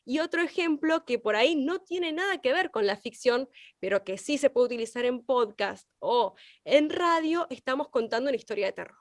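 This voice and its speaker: An Argentine woman teaching by example, expressive and illustrative as she explains. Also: high voice, normal speed, dry recording, studio quality. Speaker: radio language teacher.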